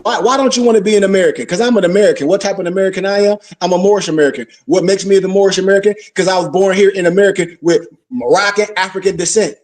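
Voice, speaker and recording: A man's voice: high-pitched, very fast, few pauses, a little mellow, recorded from a distance. A man giving an informal religious lecture, preachy, full of rhetorical questions and call-and-response. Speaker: religious teacher.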